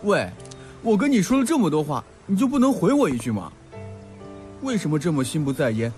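A man's voice: fairly high pitch, big pitch swings, a little fast, darkish tone. A man playing a learned, earnest and sensitive young male student in an audio drama, talkative and heartfelt. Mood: hurt, annoyed. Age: young adult. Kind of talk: Mandarin audio drama acting. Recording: background music under the voice.

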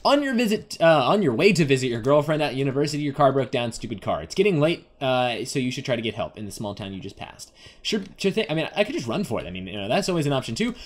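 A man's voice: mid-range voice, sing-song, fast talker. A young man giving casual, playful gaming commentary, rambling to himself and to the game's characters. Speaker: gaming video host. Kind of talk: casual Let's Play commentary on an adventure game.

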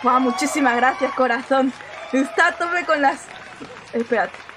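A young woman with a Spanish accent with Mexican inflections, commentating casually on live gameplay and repeating phrases rapidly in an animated, slangy way. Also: high-pitched, crowd noise, noisy background. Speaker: game streamer.

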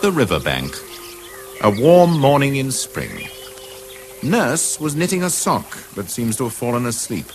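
A man's voice: mid-range voice, sing-song, a little slow, soft background music. A man narrating a radio drama as a storyteller setting the scene. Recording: faintly hissy old radio broadcast.

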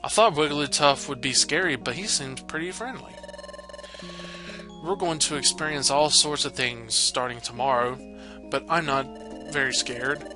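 A man voicing an eager, friendly young mouse creature from a video game, earnest and excitable. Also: mid-range voice, moderate pace, music in the background, natural voice. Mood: earnest excitement.

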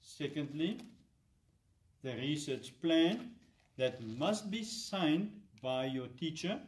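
A man's voice: on the high side, animated, slow pace. A middle-aged man giving scripted instructions as a science fair presenter, recorded on a distant camera microphone. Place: classroom or lab with display boards, indoors.

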